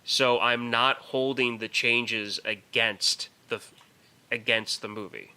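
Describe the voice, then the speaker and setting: A man's voice: mid-range voice, steady tone, takes it slowly, bright, forceful tone, clear, resonant tone. A man co-hosting a podcast and casually discussing films, emphatic and opinionated, often repeating words as he works toward his point. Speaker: podcast co-host.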